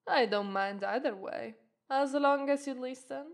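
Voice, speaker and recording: An alluring witch drawls out her flirtatious teasing in a slightly high voice, played by a woman. She takes her time over every word, unhurried and playful. It is an ASMR roleplay, voiced by an Italian native speaker. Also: creaky. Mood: musing.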